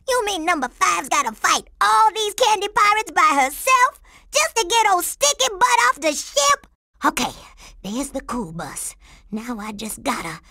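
Cartoon game role: tough, sassy young girl agent, gravelly and animated, slangy attitude. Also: very high-pitched, no room sound, clean studio sound, heavily acted. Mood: exasperated. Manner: streetwise slang, refers to herself in third person. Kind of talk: cartoon video game voice acting.

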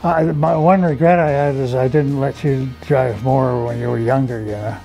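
Man in his seventies, American accent, mellow tone, folksy casual storyteller, faint music behind. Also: medium pitch, steady tone, moderate pace, low-quality recording. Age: 70s. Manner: folksy storytelling peppered with "you know". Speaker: off-road enthusiast recounting memories. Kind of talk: casual reminiscing. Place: outdoors on a driveway by an open garage.

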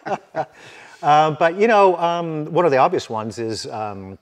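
A man's voice: a little high, very animated, a little slow. A man in his early sixties, Finnish his first language, talking in a seated interview in a winery barrel room, with many fillers and restarts. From Canada. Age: 60s. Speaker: winemaker guest. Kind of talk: relaxed interview conversation.

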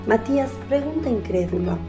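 A woman's voice: on the high side, expressive, normal speed. A woman narrating a religious radio drama from a script. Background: faint music.

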